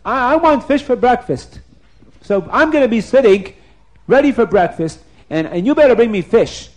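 A man voicing a demanding, hot-tempered storybook king with whiny, repetitive, shouted demands. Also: very high voice, expressive, normal speed, voice pushed up, rough recording. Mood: impatient, demanding.

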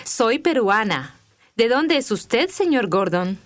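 A woman reading scripted language-course dialogue with clear, deliberate enunciation. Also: on the high side, very animated.

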